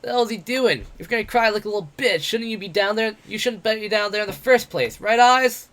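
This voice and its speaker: Man putting on a loud jeering drunk wolf-man. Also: sits high, a little fast, pitched above the performer's own voice. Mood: sneering. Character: brash, mocking drunk male wolf-man adventurer.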